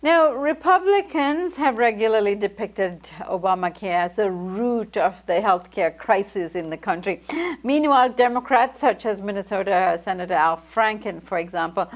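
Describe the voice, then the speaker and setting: A middle-aged woman anchors from a news studio. She asks her prepared questions steadily, in a voice of medium pitch. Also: moderate pace.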